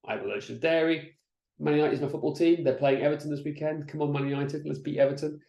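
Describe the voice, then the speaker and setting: Man, middle-aged, business coach, mellow voice, chatty webinar delivery, roomy echo. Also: fairly high pitch, fast talker, gravelly. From Wales. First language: Welsh. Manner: energetic, chatty, with frequent restarts and "right?" checks. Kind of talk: presenting slides in an online masterclass.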